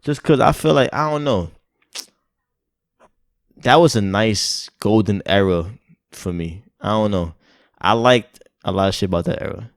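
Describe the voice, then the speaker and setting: A man's voice: on the low side, a little slow, pauses often, no room sound, clean studio sound. A young man co-hosting a podcast in casual chat, laid-back and hesitant, with many fillers. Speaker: podcast co-host.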